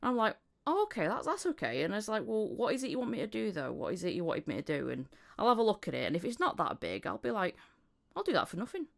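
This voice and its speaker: A woman hosting a podcast in casual interview chat, informal and a little halting in her phrasing. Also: medium pitch, wildly swooping pitch, gravelly. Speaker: podcast host, voice actor.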